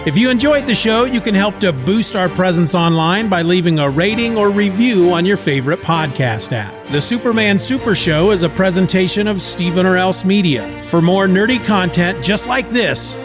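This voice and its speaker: A man hosting a podcast, moving between casual chat and scripted show announcements. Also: high voice. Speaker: podcast host.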